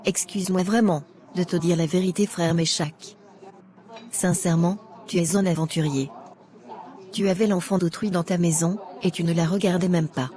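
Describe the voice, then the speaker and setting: Woman acting a blunt, low-voiced judgmental churchwoman. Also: warm tone, lightly acted. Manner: outspoken, scolding.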